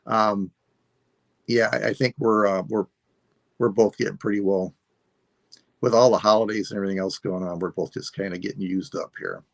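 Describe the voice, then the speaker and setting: Gravelly low-voiced man in his sixties. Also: normal speed, long pauses, no room sound. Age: 60s. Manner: relaxed, rambling, self-deprecating. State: losing his voice. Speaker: live sale host. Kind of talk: casual live stream sign-off.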